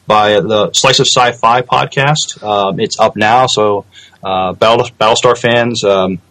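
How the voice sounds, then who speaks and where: A man co-hosting a podcast in casual chat, halting often as he searches for his words. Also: lowish voice, steady tone, fairly bright tone, rough recording. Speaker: podcast co-host.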